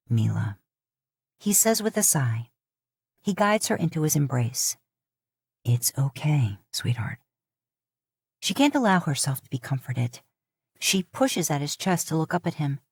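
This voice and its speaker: Audiobook narrator: woman with a lowish pitch, calm and even, recorded dry. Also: moderate pace, slightly rough, dry recording. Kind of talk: audiobook fan fiction reading.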